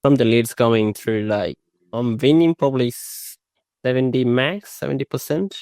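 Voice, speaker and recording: A young man who is not a native English speaker, talking in a casual interview with halting, unpolished phrasing and frequent restarts. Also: medium pitch, a little mellow, dry recording. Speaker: new mowing franchisee.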